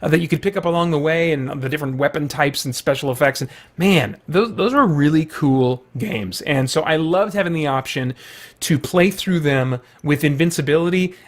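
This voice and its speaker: A middle-aged man with a slightly high voice chats casually to viewers. He is enthusiastic and rambles through loose asides as he goes. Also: moderate pace. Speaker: video host.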